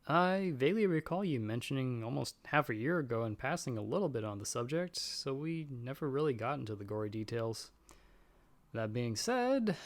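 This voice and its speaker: A man's voice: medium pitch, close to an everyday voice. A man playing a nervous, friendly young guy in an audio roleplay, talking in a casual, hesitant, self-deprecating way. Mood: chatty, self-deprecating. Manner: chatty, hesitant, sheepish. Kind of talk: casual one-sided roleplay dialogue.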